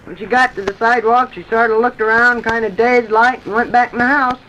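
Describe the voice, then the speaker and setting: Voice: medium pitch, fairly even intonation, a little fast, sharp tone, close to an everyday voice. A performer playing an earnest, respectful twelve-year-old boy in an old-time radio drama, speaking plainly. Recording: dull, noisy vintage radio recording.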